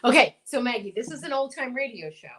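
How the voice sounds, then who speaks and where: A woman's voice: fairly high pitch, expressive, fast, over a noisy crowd. A woman hosting and directing an amateur radio show, casually coaching and stretching key words theatrically.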